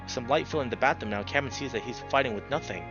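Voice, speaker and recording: A man's voice: mid-range voice, very fast, dull audio, over music. A man narrating a visual novel in a dramatic, immersed storytelling way, as a player reading aloud.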